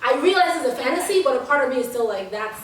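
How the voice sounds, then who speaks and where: A woman's voice: high-pitched, expressive, very fast. A woman host from Nigeria interviewing guests with probing follow-up questions. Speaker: podcast host. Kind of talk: casual interview. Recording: echoey room, distant mic, faint noise.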